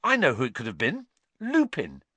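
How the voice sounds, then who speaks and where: A man voicing an excitable, hot-tempered teenage boy wizard in a storybook, with emphatic, impatient delivery. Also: mid-range voice, moderate pace, husky, no echo, voice pushed up, exaggerated voice. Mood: amused.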